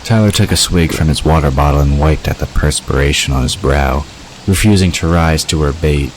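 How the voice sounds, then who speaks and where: A man's voice: extremely low pitch, brisk, slight background noise, poor audio. A man reading scripted story narration as the narrator of an audio drama.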